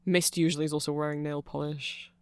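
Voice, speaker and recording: A performer voicing a nerdy, awkward, eager vampire friend in a rambling, hesitant ASMR roleplay, halting and self-conscious. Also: medium pitch, little pitch movement, smooth, dry recording, lightly acted. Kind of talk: rambling ASMR roleplay, explaining fan lore to a listener.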